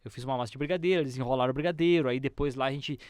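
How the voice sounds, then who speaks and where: A man with a Brazilian accent hosting a conversational interview, telling anecdotes in an animated way and repeating himself for emphasis. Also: mid-range voice, very fast, clear, resonant tone, no echo, clean studio sound. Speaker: podcast host.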